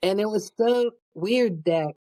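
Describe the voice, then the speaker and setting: A woman's voice: mid-range voice, slow pace, dark tone. A middle-aged woman speaking as an interview guest, telling personal anecdotes in a candid, rambling, casual way. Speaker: podcast guest, public speaker.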